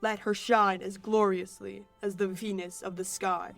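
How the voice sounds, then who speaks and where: A woman's voice: mid-range voice, flat delivery, measured pace, creaky, a bit bright, faint music behind. A teenage woman playing a stern, commanding fairy king, delivering verse imperiously in an amateur Shakespeare radio play. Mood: commanding, incantatory. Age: late teens.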